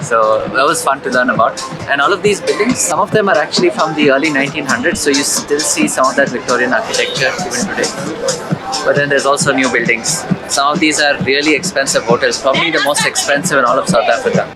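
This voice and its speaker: A man's voice: mid-range voice, fast, rough recording. Man vlogging casually, music behind. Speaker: travel vlogger. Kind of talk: casual walking travel commentary. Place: outdoors on city streets and waterfront.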